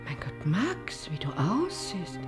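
A woman's voice: lowish voice, wildly swooping pitch, takes it slowly, music in the background, full character voice. An actress playing a concerned, insistent society woman in a radio drama, coaxing in a theatrical manner. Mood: shocked, pitying.

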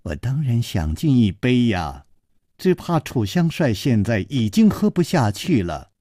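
A man voicing a charming young noblewoman in his own low, gracious, soft voice. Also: very animated, a little slow, no echo, studio quality.

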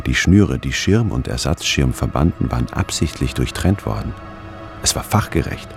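Really deep introspective guy narrating a radio play, measured, music behind him. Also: creaky, full character voice. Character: brooding male office anthropologist, introspective narrator. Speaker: radio drama narrator and lead. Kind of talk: scripted first-person radio drama narration.

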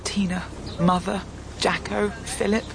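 An actress with a British accent playing a troubled, bitter young woman in a radio drama, subdued and brooding, with a creaky voice. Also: mid-range voice, level tone, unhurried. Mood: bitter, wary. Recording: noisy background ambience.

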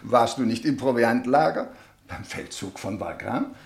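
A very animated male voice plays a middle-aged gentleman in a radio drama. He is genial, familiar and solicitous, his melody moving widely, with audible room echo. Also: mid-range voice, unhurried. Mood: sociable. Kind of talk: German radio play acting. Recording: echoey room.

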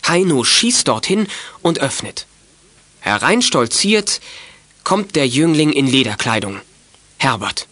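A man's voice: mid-range voice, big pitch swings, takes it slowly, long pauses, bright, forceful tone, no echo, full character voice. A man narrating a children's detective radio play with scripted, dramatic storytelling.

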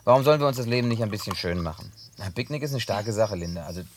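An actor playing a gruff, wary, plain-spoken American country man in a naturalistic German radio play, with a blunt, colloquial, teasing manner. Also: lowish voice, animated, racing, slight background noise, natural voice. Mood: teasing, loosening up.

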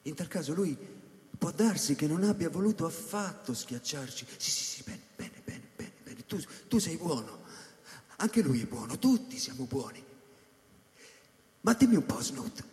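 An actor playing an anguished, feverish space-station scientist in a radio drama, stammering repetitions and swelling into theatrical declamation. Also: male voice, a little high, animated, unhurried, a little mellow. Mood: agitated, muttering. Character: Kris, anguished, feverish male space-station scientist musing on an imperfect God. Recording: echoey hall reverb under clear music. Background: live electronic and flute music.

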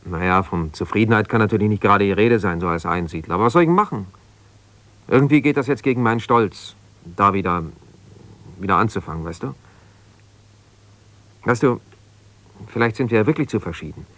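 A man's voice: on the low side, expressive, fairly quick, long pauses, fairly bright tone. An actor playing a middle-aged, self-justifying lawyer left by his wife, talking argumentatively and insistently in a naturalistic radio drama. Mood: stubborn, reluctant. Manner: argumentative, insistent, hesitating when conceding. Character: ambitious lawyer, abandoned husband, self-justifying, stubborn, defensive.

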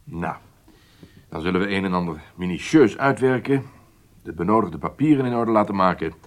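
An actor playing a commanding, matter-of-fact intelligence chief in a radio drama, speaking in a deliberate, explanatory way. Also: male voice, deep voice, animated. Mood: businesslike. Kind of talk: Dutch radio drama acting.

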